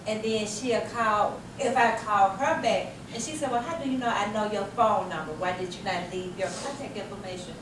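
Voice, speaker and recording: A woman, a participant in a training session, making a casual comment in halting, repetitive phrasing. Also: medium pitch. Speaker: workshop participant. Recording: echoey, distant, clearly noisy room recording.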